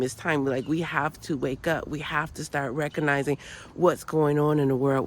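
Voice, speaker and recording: A woman hosting her own channel, nasal from a cold, giving an earnest, preachy motivational talk full of repeated phrases and "you know"s. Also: sits low. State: has a cold, sounds nasal. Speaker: channel host. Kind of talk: motivational spiritual vlog talk.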